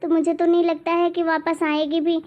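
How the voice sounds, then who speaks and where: A woman's voice: very high-pitched, little pitch movement, moderate pace, muffled recording. A woman voicing an anxious, complaining older mother-in-law in a cartoon family drama, with a fretful, nagging manner. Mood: worried.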